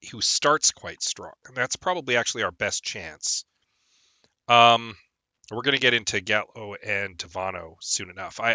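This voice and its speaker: A man's voice: fairly low pitch, sharp tone, no echo. Chatty phlegmy man streaming a game. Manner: rambling, chatty, lots of ums and uhs. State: phlegmy from a lingering cold. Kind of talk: casual gameplay stream chat.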